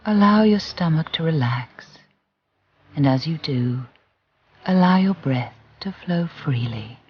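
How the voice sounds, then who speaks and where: Slow guided relaxation voice, mid-pitched. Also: expressive, husky. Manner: soothing, gentle, deliberate with long pauses. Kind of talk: guided visualisation narration. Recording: muffled recording with music behind. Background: background music.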